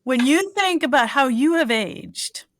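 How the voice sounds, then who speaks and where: A woman's voice: high voice, expressive, measured pace, dry recording. A woman in her sixties hosting an interview over a video call from home, upbeat and encouraging as she asks her guest set questions. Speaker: podcast host. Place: home room with pictures on the wall.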